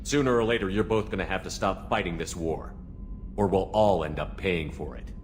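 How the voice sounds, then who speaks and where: A man's voice: sits low, normal speed, faint music behind, lightly acted. A man playing a human military commander in a video game, speaking in a firm, blunt and authoritative way. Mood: stern.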